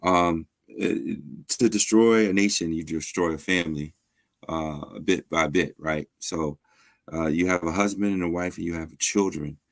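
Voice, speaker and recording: Deep-voiced pastor, measured and halting. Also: male voice, dry recording, studio quality. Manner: measured, hesitant with fillers, affirming. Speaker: pastor guest. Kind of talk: conversational teaching.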